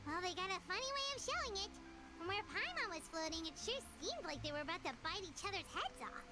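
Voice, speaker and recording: A woman voicing a tiny floating fairy companion, chatty and nosy, in a high childlike voice for a video game. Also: sing-song, quick pace. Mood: teasing. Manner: chatty, exclaiming, meddling. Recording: game audio with music behind.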